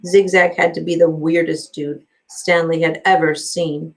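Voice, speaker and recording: A woman, a teacher, reading a children's novel aloud in an expressive storytelling style. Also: low-pitched, measured pace, low-quality recording.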